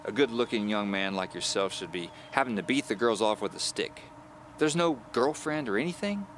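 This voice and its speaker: A man's voice: fairly low pitch, animated, normal speed, fairly bright tone, a little hiss or hum. A man voicing a cheerful, chatty, nosy woman of about seventy in an audio drama, with a folksy, fussing manner. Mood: nosy, teasing.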